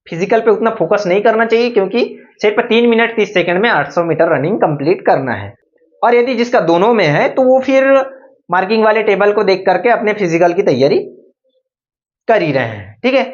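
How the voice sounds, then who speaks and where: A young woman giving an informal explainer, going step by step, repeating points and often adding check-in tags. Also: medium pitch. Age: young adult. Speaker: exam-prep video presenter and police candidate. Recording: dull lo-fi voice recording.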